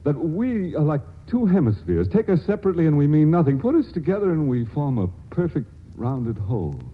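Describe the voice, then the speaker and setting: An actor playing an earnest, awkward, lovesick suitor in a 1940s radio drama, halting and stammering as he adores and pleads. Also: on the high side, sing-song, husky. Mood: ardent, fumbling. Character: earnest, awkward, lovesick scientific young suitor, hesitant and adoring. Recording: tinny, band-limited 1940s radio broadcast recording.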